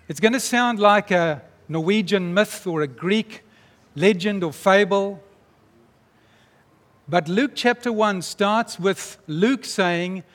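A man's voice: sits high, a bit bright. A man preaching a sermon to his church in an earnest, emphatic way. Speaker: pastor. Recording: long reverb of a church hall.

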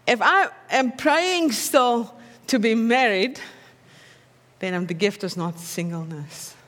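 A woman's voice: on the high side, lively intonation, takes it slowly, a bit bright, big-room sound. A woman with an Afrikaans accent preaching a sermon in a conversational way, often checking in with her listeners through tag questions.

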